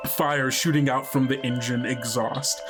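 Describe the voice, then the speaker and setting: Guy running a tabletop game, casual banter and narration, middle pitch, music playing behind him. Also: steady tone, a little slow. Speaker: game master and podcast host.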